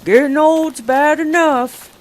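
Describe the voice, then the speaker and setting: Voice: mid-range voice, expressive, normal speed, a little hiss or hum, lightly acted. A narrator voicing grumpy old retired men who grumble and swear. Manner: gruff, grumbling.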